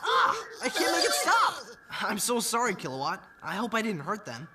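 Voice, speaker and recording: An actor voicing an eager, good-natured young space crew member in a cartoon, earnest and expressive. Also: mid-range voice, normal speed, distant mic, heavy background noise. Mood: excited, then worried. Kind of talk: animated cartoon acting.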